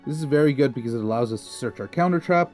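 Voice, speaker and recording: A man's voice: medium pitch, rapid-fire, darkish tone, faint music behind. A young man, a little nasal from a cold, casually and methodically walking through a card game deck list. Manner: casual, methodical, lots of fillers like kinda. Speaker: deck profile presenter.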